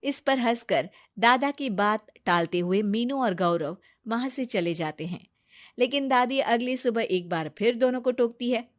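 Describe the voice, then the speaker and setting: A woman's voice: on the high side, expressive, unhurried, no echo, studio quality. A woman narrating a family story in a scripted storybook style.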